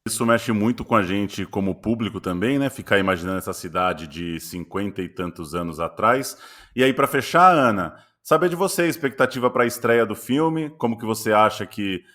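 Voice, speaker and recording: A man with a Brazilian Portuguese accent, a podcast host asking long, winding interview questions in a measured way. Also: fairly low pitch, animated, a bit bright.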